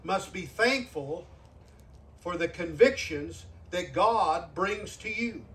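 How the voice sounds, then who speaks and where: A man's voice: sits high, animated, takes it slowly, a bit bright, recorded from a distance. An older man, a guest preacher in his sixties, delivering an earnest sermon and repeating phrases for emphasis. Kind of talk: sermon with altar call.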